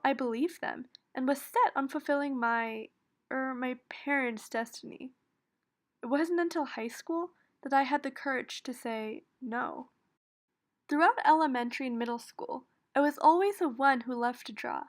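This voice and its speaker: A young American woman giving an earnest, prepared personal talk about her own story. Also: high voice. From United States. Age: young adult. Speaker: student speaker.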